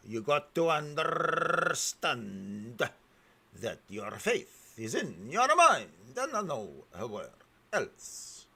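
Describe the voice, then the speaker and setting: A man in the host's chair, talking with lively swings of pitch and a husky, slightly rough voice. He leans hard on key words, drawing some out slowly and loudly, then drops to quiet mutters with halting restarts. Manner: animated, emphatic, swings loud and soft. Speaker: show host. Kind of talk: commenting over a played clip.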